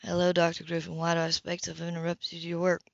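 A woman's voice: lowish voice, completely flat delivery, fast, vocal fry, no room sound. A guarded professional woman from an audiobook read by a female narrator, polite and wry with a light formality. It sounds like a dry, slightly dull lo-fi recording made at home. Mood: wry, friendly.

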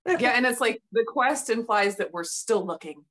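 A woman in her thirties speaks softly and quietly as a guest on a casual group video call. Her pitch moves around a lot as she talks. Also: animated, normal speed. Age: 30s. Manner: soft-spoken, self-described introvert. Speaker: podcast guest.

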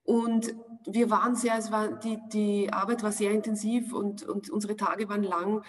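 A woman in her forties with an Austrian accent, answering interview questions from home over a video call. She speaks casually and often pauses with fillers while searching for the right words. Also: mid-range voice, little pitch movement. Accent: Austrian German. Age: 40s. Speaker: actress, interview guest. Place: home room, indoors. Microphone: video call webcam.

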